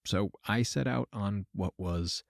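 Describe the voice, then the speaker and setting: A man's voice: on the low side, takes it slowly, gravelly, dry recording. A man playing a wry, self-deprecating computer scientist, giving a dry, confessional monologue in an audio drama. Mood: dry, reflective. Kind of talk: first-person fiction podcast monologue.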